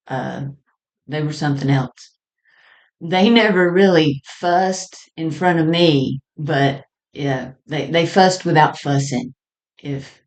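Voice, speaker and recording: Slow, low-pitched woman reminiscing. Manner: halting and conversational, often chuckling mid-sentence. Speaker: storyteller sharing personal experience. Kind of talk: personal storytelling, reminiscing.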